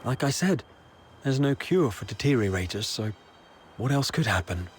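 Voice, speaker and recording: An actor playing a young town escort guard in a video game, anxious, chatty and world-weary but soft-hearted, explaining things conversationally. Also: male voice, mid-range voice, lively intonation, moderate pace, slight background noise, close to an everyday voice. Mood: earnest, uneasy.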